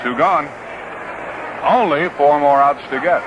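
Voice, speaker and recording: A man's voice: on the high side, a little slow, lo-fi sound. Noisy vintage radio baseball announcer, American man. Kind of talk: live radio baseball play-by-play commentary. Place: outdoor ballpark broadcast booth.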